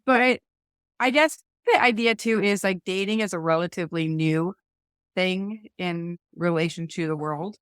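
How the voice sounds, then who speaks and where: A woman's voice: lowish voice, normal speed, clean tone, no echo, studio quality. A woman hosting a faith podcast, talking casually and hesitantly, with frequent restarts and trailing thoughts. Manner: chatty, hesitant, restarts often. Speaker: podcast host.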